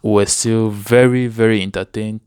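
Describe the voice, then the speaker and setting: This is a man with a notably smooth, clear voice that sits a little low. He speaks as a guest in a casual sports discussion. The sound is dry and close, with hardly any room in it. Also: steady tone, normal speed, darkish tone, no echo. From Cameroon. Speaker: interview guest, sports fan.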